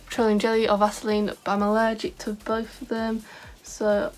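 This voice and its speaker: A woman's voice: medium pitch, monotone, normal speed, a little mellow, faint music behind. A young woman vlogging in a casual, rambling way, full of "like" fillers, with a scratchy voice today. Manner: chatty, rambling, many "like" fillers. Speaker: beauty vlogger. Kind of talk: casual chat to camera.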